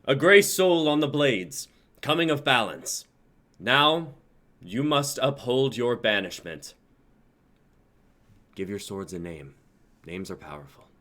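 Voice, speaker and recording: A man's voice: mid-range voice, very animated, slow pace, long pauses, fairly bright tone. A man playing a commanding principal of a knights' council, solemn and proclamatory, in an amateur fantasy drama reading. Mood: astonished, commanding.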